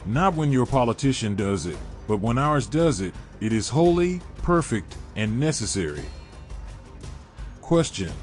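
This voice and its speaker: A man's voice: medium pitch, big pitch swings, measured pace. Preachy man narrating a sermon over music. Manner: preachy, denunciatory, rhetorical questions. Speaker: religious video narrator.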